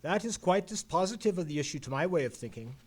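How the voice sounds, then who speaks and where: A man's voice: on the high side, lively intonation, fast talker, slightly rough. An actor playing an erudite, world-weary male detective in an old-time radio play, with a pedantic and eloquent manner. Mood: pedantic, absorbed. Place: live performance in a theatre.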